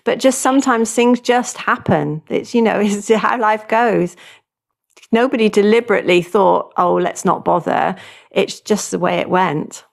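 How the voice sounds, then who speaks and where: A woman hosting an interview, giving short supportive replies and gentle questions. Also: mid-range voice, unhurried, creaky. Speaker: host. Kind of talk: interviewing a guest.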